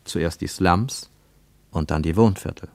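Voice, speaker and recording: A man reading scripted literary narration for radio, with measured, rhetorical and emphatic phrasing. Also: deep voice, a little mellow, no room sound.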